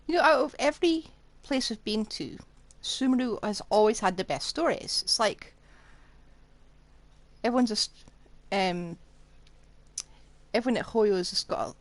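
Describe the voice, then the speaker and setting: A woman's voice: a little high, normal speed, long pauses, no room sound. A woman live streamer giving casual commentary while she plays a game. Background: game music.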